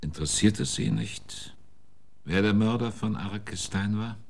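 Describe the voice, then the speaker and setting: An actor playing a weary, terse detective in a German radio drama, dry and matter-of-fact in delivery. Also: male voice, fairly low pitch, normal speed, creaky. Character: weary, terse male detective wanting his fee.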